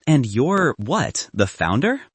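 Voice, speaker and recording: A man's voice: medium pitch, sing-song, takes it slowly, slightly rough, no echo, exaggerated voice. A man doing a materialistic young woman, lilting. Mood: condescending, impressed. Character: status-conscious, materialistic young woman, the hero's ex.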